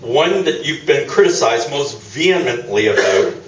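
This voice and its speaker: Skeptical, needling interviewer played by a male actor, mid-pitched, dry comic delivery, roomy live theatre sound. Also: sing-song, moderate pace, natural voice. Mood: challenging. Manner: dry, sardonic, challenging. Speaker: radio play actor. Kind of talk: comic radio play performed live on stage. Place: small theatre, live performance. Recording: echoey theatre, distant mic, noisy.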